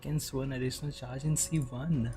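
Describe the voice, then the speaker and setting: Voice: mid-range voice, fairly even intonation, brisk. A gamer giving brief, casual, murmured reactions while playing through a story quest. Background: game music.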